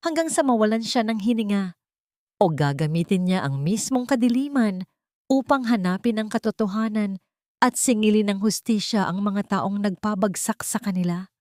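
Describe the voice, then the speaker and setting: A woman's voice: mid-range voice, a little mellow, no room sound, studio quality. A woman narrating a scripted dramatic story in a solemn manner.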